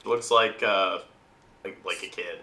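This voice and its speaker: A man co-hosting a podcast, trading casual jokes in a deadpan manner. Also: deep voice, unhurried. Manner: deadpan, monotone joking. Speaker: podcast co-host. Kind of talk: casual joking banter.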